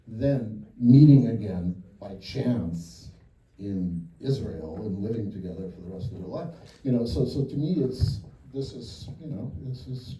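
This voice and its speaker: A man with a low, dark, mellow voice talks through his ideas in a loose, rambling way, full of fillers and false starts. He is picked up from a distance in a reverberant cinema hall. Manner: rambling, thinking aloud with many fillers. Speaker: film director. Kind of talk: post-screening Q&A. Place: cinema auditorium. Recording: echoey theater from far off.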